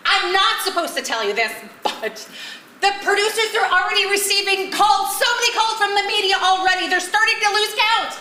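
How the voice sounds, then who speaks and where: A woman's voice: very high voice, lively intonation, brisk. A woman on stage playing a keen, placating play director who steers a rehearsal in a comedy. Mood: excited. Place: live stage at a one-act play festival. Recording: roomy stage sound with noise.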